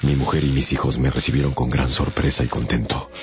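A man playing a weary, haunted traveller estranged from humankind in a radio drama, speaking gravely and haltingly with a gravelly voice. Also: a bass voice, level tone. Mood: sorrowful, grave. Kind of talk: Spanish-language radio drama, first-person narration and dialogue. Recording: dull lo-fi radio production. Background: dramatic music.

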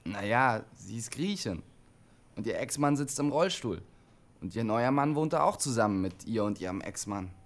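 An actor playing a lonely, troubled young radio operator in a German radio drama, terse and brooding. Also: male voice, lowish voice, animated, normal speed. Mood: uneasy. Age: young adult. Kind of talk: German radio drama acting, intimate narration and dialogue.

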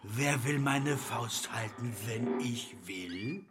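An actor playing a boastful, blasphemous, threatening brute who mutters in a radio drama. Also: male voice, mid-range voice, slow, lightly acted. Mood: menacing.

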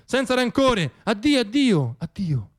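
A man's voice: high-pitched, very animated, unhurried, voice pushed up, heavily acted. A man voicing personified dreams that breezily abandon their owner in a stage monologue. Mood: breezy farewell.

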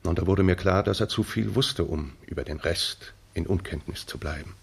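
Gravelly old colonel, grave and low. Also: male voice, moderate pace, exaggerated voice. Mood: resigned. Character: grave old colonel, a proud, protective father. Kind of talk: 1960s German radio drama acting.

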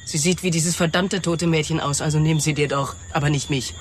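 An actress playing a wounded, scornful wife who has been betrayed, in a radio drama. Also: female voice, low voice, steady tone, fairly quick, background noise, close to an everyday voice. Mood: furious, scornful.

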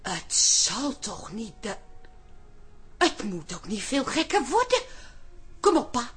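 A woman playing an excitable, sentimental and bossy character in a radio drama, full of exclamations. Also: fairly high pitch, takes it slowly, exaggerated voice. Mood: startled, bossy. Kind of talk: Dutch radio drama acting.

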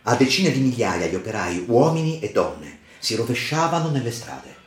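A man reads a dramatic literary narration for radio theatre in a theatrical, emphatic, measured way. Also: mid-range voice, sing-song. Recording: roomy echo with music underneath. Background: music.

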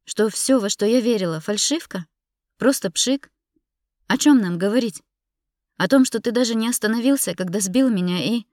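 A woman's voice: lowish voice, normal speed, a little mellow, no echo, studio quality. A woman narrator playing a hurt, bitter young woman confronting her husband in an audiobook drama, emotional and indignant. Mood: angry, demanding.

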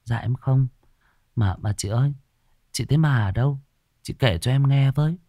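A man's voice: medium pitch, moderate pace, dark tone, no room sound, clean studio sound, voice pushed up, close to an everyday voice. A man voicing a timid, anxious young woman shop assistant in a horror story, hesitant and polite. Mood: timid, curious.

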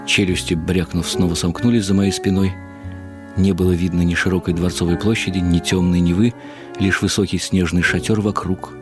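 An older man giving a reflective, expressive and unhurried dramatic reading of literary prose for radio. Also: sits low. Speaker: narrator. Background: music.

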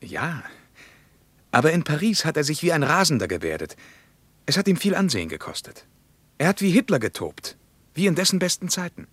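An actor playing a sceptical West German individualist in a radio play, probing and arguing insistently. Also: male voice, fairly high pitch, big pitch swings, a little fast, pauses often, fairly bright tone, slightly rough, dry recording, exaggerated voice. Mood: indignant. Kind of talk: mid-20th-century German radio play dialogue.

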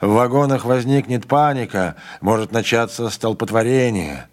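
A man's voice: mid-range voice, moderate pace, pitched above the performer's own voice, close to an everyday voice. A man reading a desperate, dutiful train conductor. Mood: urgent. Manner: shouting urgently.

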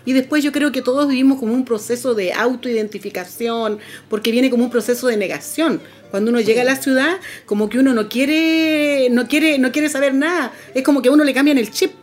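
Middle-aged woman, lively storyteller. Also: high voice, fairly quick, few pauses. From Camiña, northern Chile. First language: Aymara. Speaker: Aymara language teacher, interviewee. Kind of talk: personal story on a radio program.

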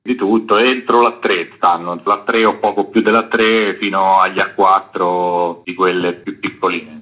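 A man's voice: low-pitched, fairly even intonation, unhurried. A middle-aged man, explaining things reflectively as a guest in an informal radio interview. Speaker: interview guest, illustrator and comics author. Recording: dull lo-fi radio sound.

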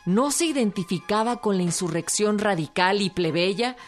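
A woman's voice: mid-range voice, expressive, moderate pace. A woman with a Mexican accent delivering scripted documentary narration as a narrator. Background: music.

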